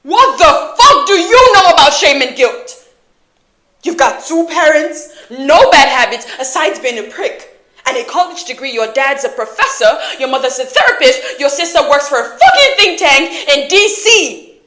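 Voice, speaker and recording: A woman's voice: on the high side, measured pace. A woman performing a dramatic film monologue as a wounded, angry young woman who confronts her lover with intense, profane accusations. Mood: explosive anger. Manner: profane, accusatory, intense, swinging from hushed hurt to loud scorn. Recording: echoey room.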